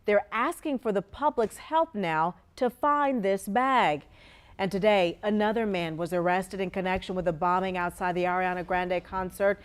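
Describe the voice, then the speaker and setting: A woman's voice: medium pitch, a little mellow. A woman with an American accent reading scripted television news as an anchor.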